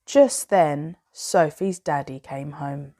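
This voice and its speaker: A woman reading a children's bedtime story aloud in a measured, expressive way with dramatic pauses. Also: deep voice.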